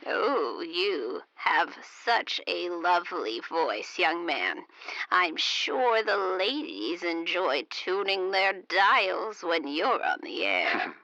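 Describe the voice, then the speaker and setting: Racy elderly lady novelist, very animated pitch, sharp bright tone, coy, unhurried, dry slightly dull recording. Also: no echo, full character voice. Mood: flirtatious. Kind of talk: audio drama, comedic character acting.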